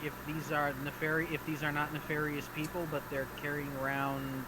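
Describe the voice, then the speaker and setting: A man's voice: a little high, completely flat delivery, a little fast, heavy background noise. A young man playing a tabletop game, trading dry, mock-formal quips. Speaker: player. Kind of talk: casual game banter.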